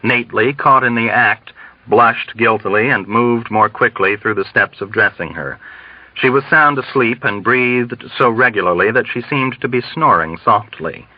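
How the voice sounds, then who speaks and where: A man's voice: medium pitch, normal speed, no room sound. A man reading a novel aloud as scripted audiobook narration. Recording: dull, dry, band-limited audio.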